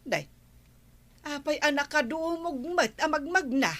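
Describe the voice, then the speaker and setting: A woman's voice: high-pitched, a little slow, a bit bright. An actress playing a nagging, complaining village woman with an Ilocano accent in a comedy radio drama.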